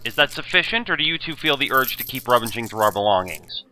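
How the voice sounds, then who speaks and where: A man's voice: mid-range voice, very animated, fast, bright, forceful tone. A man playing a brainy, fussy teenage schoolboy in an audio drama, with precise, bookish phrasing. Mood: exasperated. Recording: dull lo-fi recording, crowd noise behind.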